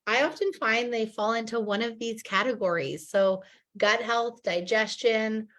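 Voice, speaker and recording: Woman host, 30s, a little husky from a cold, chatty and upbeat, video call. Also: on the high side, unhurried, a bit bright. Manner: chatty, upbeat, many ums and restarts. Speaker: host, direct sales presenter. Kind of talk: casual online product presentation. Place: at home, online video call.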